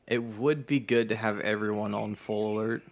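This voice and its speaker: Male streamer with a lower voice, reads interactive fiction aloud and chats casually. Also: fairly even intonation, normal speed. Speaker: co-host of a live game reading stream. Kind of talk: casual chat and narration reading of an interactive story.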